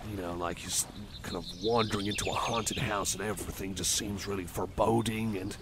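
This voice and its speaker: A man's voice: lowish voice, fairly even intonation, fairly quick, very noisy, lightly acted. A man playing a shy, awkward, eager-to-please cyborg buddy in an ASMR roleplay, rambling to the listener in a hesitant, stammering, apologetic way. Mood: geeky, enthusiastic.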